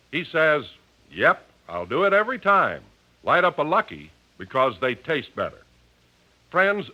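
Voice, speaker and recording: A male radio announcer from the fifties, bright and forceful with very lively swings of pitch. He delivers sponsor copy and credits in a slightly high voice. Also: dull audio. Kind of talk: 1950s radio announcing and ad reading.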